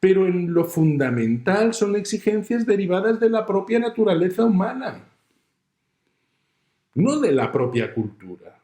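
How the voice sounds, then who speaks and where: A middle-aged man from Spain with a Spanish accent, giving a measured, explanatory lecture. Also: high voice, big pitch swings, warm tone, echoey room. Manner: measured, explanatory, uses everyday examples. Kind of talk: recorded university lecture.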